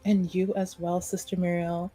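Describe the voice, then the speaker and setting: A woman's voice: lowish voice, level tone, normal speed, warm tone, close to an everyday voice. A woman playing a polite, gracious noblewoman host with formal, practiced courtesy in a fantasy tabletop game. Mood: gracious, courteous.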